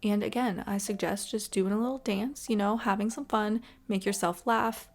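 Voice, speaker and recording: A woman's voice: mid-range voice, steady tone, moderate pace. A woman hosting a gaming video with casual, chatty, encouraging commentary in an informal way. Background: background music.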